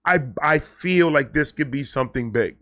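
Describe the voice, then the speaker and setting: A man talking casually and off the cuff to his viewers, excitable and rambling, often repeating and stammering over words, with slangy, sweary phrasing. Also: fairly high pitch, moderate pace. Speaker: online video creator and host. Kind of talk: casual unscripted vlog talk to viewers.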